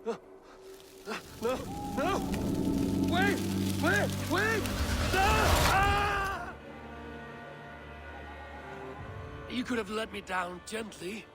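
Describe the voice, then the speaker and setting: An actor playing a proud, grim high god and warrior king in a video game, speaking in a solemn, commanding way. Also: big pitch swings, takes it slowly, loud music behind the voice, heavily acted. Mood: panicked. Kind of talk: dramatic video game acting.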